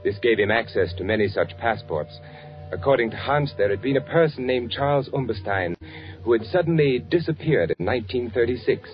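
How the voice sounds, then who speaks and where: An actor playing an anxious, secretive man posing as a German traveler, in halting, self-questioning 1940s radio suspense style. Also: medium pitch, lively intonation, natural voice. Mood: brooding narration. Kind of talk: 1940s radio suspense acting, confessional first-person narration and dialogue. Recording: muffled old 1940s radio recording with music.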